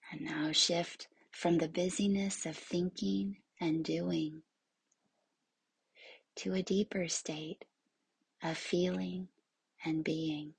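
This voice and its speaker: Soft hushed lady doing yoga nidra, slow and super breathy almost whispery, lowish voice. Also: fairly even intonation, no echo. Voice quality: breathy, husky, often near a whisper. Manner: soothing, hushed, with long pauses. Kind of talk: guided meditation.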